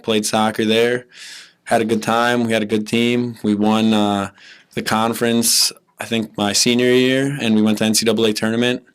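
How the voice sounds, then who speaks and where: A man in his twenties from Milwaukee, a guest on a podcast, telling his story in a relaxed, easygoing way. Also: lowish voice. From Milwaukee, USA. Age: 20s. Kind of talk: casual interview chat.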